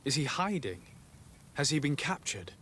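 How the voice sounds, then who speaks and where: An actor playing a courteous, earnest adventurer and envoy in a fantasy game, speaking formally and with composure. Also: male voice, a little high, sing-song, normal speed, a bit bright, a little hiss or hum. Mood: polite, composed. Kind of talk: fantasy video game cutscene acting.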